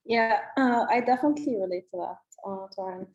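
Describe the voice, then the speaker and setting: A woman's voice: a little high, fairly quick, a little mellow. A woman speaking casually as a poet on a video-call panel, reflective and a little hesitant. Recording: slightly dull video call audio.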